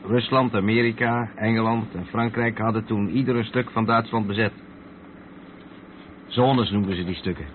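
A thin, narrow-band radio drama recording with clear vehicle noise carries a rather low male voice. An actor plays a steady truck driver, explaining things patiently to his companion. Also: normal speed, lightly acted. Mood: patient, explanatory.

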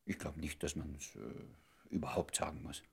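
Radio play lover, man: extremely low, very raspy, ardent and devoted, slipping into breathy murmurs. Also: fairly even intonation, moderate pace, full character voice. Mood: earnest, tender. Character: ardent devoted man wooing an old love. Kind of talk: intimate German radio drama acting.

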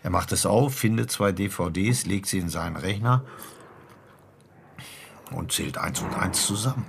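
A man playing a seasoned, matter-of-fact police inspector in a radio crime drama, with a husky, gravelly voice. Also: low voice, moderate pace, a little hiss or hum. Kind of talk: naturalistic German radio crime drama dialogue.

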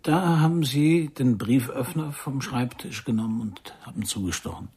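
An actor playing a blunt, sardonic private detective in a radio crime drama, drawling his probing questions in a gravelly, husky voice. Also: mid-range voice, moderate pace, a little mellow. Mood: prodding.

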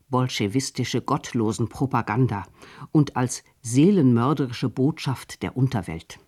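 A man reading a scripted radio documentary narration in a measured, formal way. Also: mid-range voice, a little mellow.

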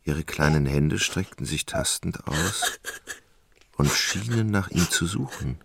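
A man narrating a literary radio play in a measured storytelling manner. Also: deep voice, animated, vocal fry, fairly bright tone, slightly rough.

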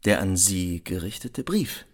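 A man's voice: low voice, sing-song, measured pace, full character voice. Methodical male police inspector. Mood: dry, factual. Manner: dry, orderly reporting. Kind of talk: radio crime drama acting.

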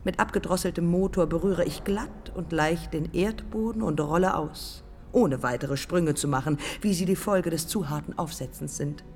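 A proud, defiant pioneering woman pilot played by an actress in a radio drama. Her voice sits fairly low and she speaks in clipped, deliberate phrases, matter-of-fact and measured. Faint music plays behind her. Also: darkish tone, in a large hall, close to an everyday voice. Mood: calm recollection. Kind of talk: German public radio drama, lyrical dialogue.

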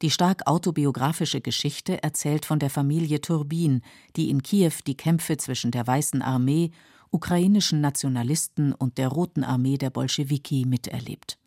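Low, mellow female narrator. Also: steady tone, moderate pace, no room sound, studio quality. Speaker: narrator of a radio literary feature. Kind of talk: scripted documentary narration.

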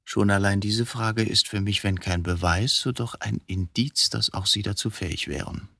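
Gravelly, coolly provocative killer. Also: male voice, fairly low pitch, steady tone, sharp tone, no room sound, clean studio sound, exaggerated voice. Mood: calm, insinuating. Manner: deliberate, probing, rhetorical questions. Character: coolly provocative confessed wife-killer, philosophical, divided self. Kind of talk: radio drama acting.

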